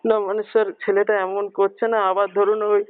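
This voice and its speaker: A man's voice: sits high, flat delivery, moderate pace, clean tone, no room sound. A man making a prank phone call, hesitant, rambling and pleading as he poses as a worried parent. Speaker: prank caller.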